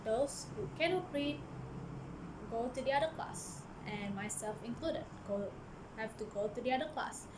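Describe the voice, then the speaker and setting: A young Malaysian woman shares a personal story in a casual vlog, her voice sitting a little high. She speaks earnestly and hesitantly, often stopping to restart a sentence. Clear background noise runs under her voice. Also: normal speed. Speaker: book vlogger. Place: church office.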